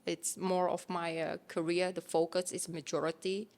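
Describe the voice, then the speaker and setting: A woman in her thirties from Thailand, chatting casually as an interview guest, with relaxed phrasing full of fillers and restarts. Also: fairly low pitch, normal speed, creaky.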